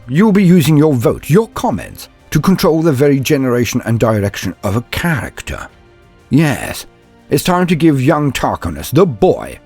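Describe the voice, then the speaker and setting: A man's voice: medium pitch, gravelly, darkish tone, breathy edge. A man narrating as a storyteller and host, in a scripted, theatrical style with courtly phrasing. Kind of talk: dramatic first-person story narration and scripted channel address. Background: background music.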